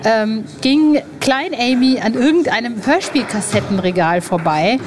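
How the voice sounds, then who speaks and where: A woman's voice: fairly high pitch, animated, measured pace, a crowd in the background. Chatty, slangy woman podcast host. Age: 40s. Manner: colloquial, exclamatory, slangy. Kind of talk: casual, chatty podcast hosting.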